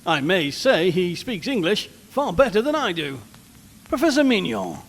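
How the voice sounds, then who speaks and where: A man's voice: sits high, big pitch swings, normal speed. A man playing an uneasy, chatty radio reporter who talks wryly to listeners from a haunted house in a horror audio drama. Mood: composed, explanatory. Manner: conversational, wry, addressing listeners. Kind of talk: live radio broadcast horror drama acting. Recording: long reverb, background hiss and ambience.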